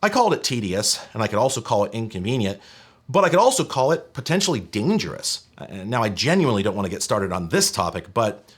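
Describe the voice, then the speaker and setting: A man narrates a retro tech review in a lively, highly varied voice. His delivery is scripted yet conversational, with casual asides. He leans on key words emphatically and keeps a wry edge. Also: fast, husky.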